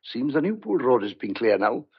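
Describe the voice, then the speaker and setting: Shrewd old country farmhand, muffled. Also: male voice, medium pitch, very animated, moderate pace, slightly rough. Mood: grave, confiding. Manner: folksy dialect, colourful country sayings. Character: shrewd, stubborn old rural farmhand, loyal and secretive. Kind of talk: old-fashioned radio thriller acting. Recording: dull, lo-fi radio drama sound.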